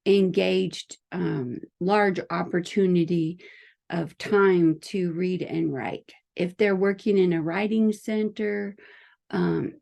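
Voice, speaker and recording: A woman who speaks English natively, giving an informal explanatory lecture as an educator in a careful, halting manner. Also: lowish voice, vocal fry, warm tone. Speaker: teacher educator explaining a learning principle.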